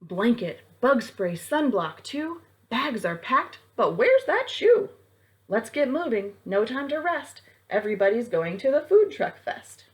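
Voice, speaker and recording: A woman's voice: on the high side, very animated, measured pace. A woman leading a children's storytime as a librarian, reading aloud and speaking directly to young listeners with prompts and questions.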